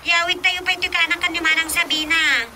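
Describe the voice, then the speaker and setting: A young daughter in a radio comedy drama, extremely high and piercingly bright. She sounds urgent and insistent, with faint noise in the background. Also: little pitch movement, fast, exaggerated voice.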